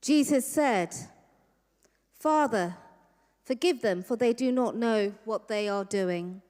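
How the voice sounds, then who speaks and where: A woman giving a measured, scripted reading of scripture, phrase by phrase, in a Passion dramatisation. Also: a little high, darkish tone. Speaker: Passion reader. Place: large church hall, live reading. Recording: echoey large church hall.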